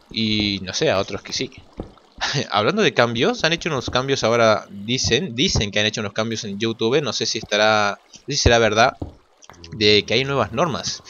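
Man from Argentina, lowish voice, casual gaming commentary, thinking aloud, noisy recording with clicks. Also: bright, forceful tone. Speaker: gaming video creator. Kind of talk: casual let's play commentary. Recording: muffled lo-fi mic, clear noise and clicks.

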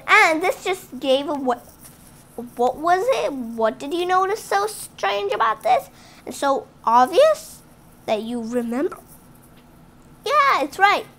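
A female host reads a picture book aloud to children, her voice pitched high and swinging widely up and down. She takes her time, trips and restarts on words, and breaks off to talk directly to the kids. It sounds recorded on a phone held over a table at home. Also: very animated, fairly bright tone. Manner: halting reading with restarts and chatty asides to the kids. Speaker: read-aloud channel host.

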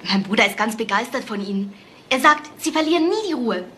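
An actress playing a chatty, flirtatious, dreamy sister of a crook in a crime radio play. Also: female voice, fairly high pitch, expressive, fairly quick, fairly bright tone. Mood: breezy, flirtatious. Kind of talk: German radio crime drama acting. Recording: echoey room, faint noise.

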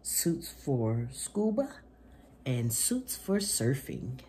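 A man, hosting a children's storytime and reading aloud in an expressive, deliberate way. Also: mid-range voice, a little hiss or hum. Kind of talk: read-aloud children's picture book. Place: small indoor room at home.

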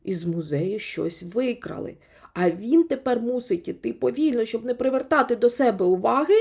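A woman reading a children's story as an expressive audiobook narrator. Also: fairly high pitch, normal speed, gravelly, slightly rough.

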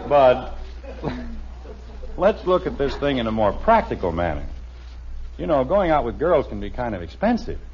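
A man's voice: medium pitch, wildly swooping pitch, moderate pace, pauses often. An American actor playing a wry, exasperated middle-aged father in a 1950s radio sitcom, with dry, teasing sarcasm. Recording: muffled, noisy old radio broadcast recording.

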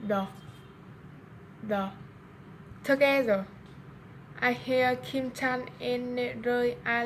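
A woman's voice: on the high side, fairly bright tone, slight background noise, rough recording. A young Vietnamese woman reading her English pronunciation homework aloud, word by word, stumbling and repeating sounds. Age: young adult. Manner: slow, word-by-word practice with stumbles. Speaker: language student. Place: small bathroom, indoors. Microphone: webcam or phone at arm's length.

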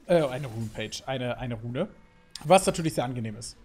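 A man in his thirties from Germany, commenting on his own gameplay in an excitable way, full of fillers, at a desk at home. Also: mid-range voice, normal speed. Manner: lively, excitable, talks through play with fillers. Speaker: gaming YouTuber. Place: home streaming setup, indoors.

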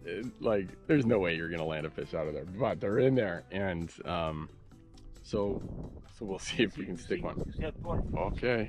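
A man in his thirties hosting a fishing vlog from a small boat outdoors, talking casually into a close action camera with fillers and easy laughs. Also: low-pitched. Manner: relaxed, chatty, with fillers and quick laughs. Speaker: fly fishing guide and host. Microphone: action camera held close. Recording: outdoor camera audio with music bed. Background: background music.

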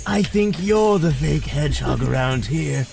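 A haughty, brooding hedgehog rival played by a man sneers with mock drama in a parody game dub. His voice is gravelly and dry, set over loud music. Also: on the high side, lively intonation, unhurried. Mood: menacing. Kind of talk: improvised comedic game fandub.

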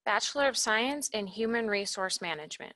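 A woman formally reading out graduate names as a commencement announcer, in a measured, clearly enunciated way. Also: medium pitch, lively intonation, a bit bright.

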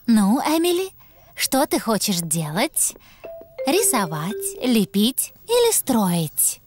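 High-voiced cartoon gazelle teacher. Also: female voice, wildly swooping pitch, slow, darkish tone, faint music behind, exaggerated voice. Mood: patient, encouraging. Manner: patient, clearly addressed classroom instruction. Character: kindly adult female gazelle schoolteacher.

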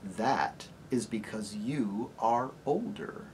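A reader voicing a great, wise, gentle male lion who speaks slowly and gravely in a lowered, quiet voice. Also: lively intonation, background noise. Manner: slow, grave and soft-spoken.

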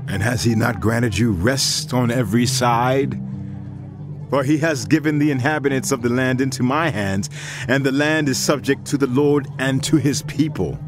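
An actor plays an aging Israelite king in an audio Bible drama. He speaks in a low voice, solemn and commanding, declaiming with devout authority. Music plays clearly behind him. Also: natural voice.

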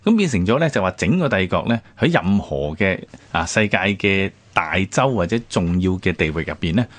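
A man's voice: low voice, lively intonation, moderate pace, no room sound. Hong kong cantonese guy chatting history as host, swoopy intonation, dry close studio sound. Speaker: radio programme host. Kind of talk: conversational history discussion.

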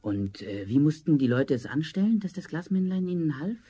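A husky, discontented young charcoal burner. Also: male voice, a little high, big pitch swings, brisk, warm tone. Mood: eager, curious. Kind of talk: 1970s fairy-tale audio drama acting.

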